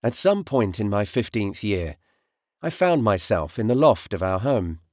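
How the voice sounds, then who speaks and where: A male narrator reads a first-person story for an audiobook. His pitch moves expressively across phrases that are measured and deliberate. The sound is dry, with no room around it. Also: big pitch swings, darkish tone, dry recording.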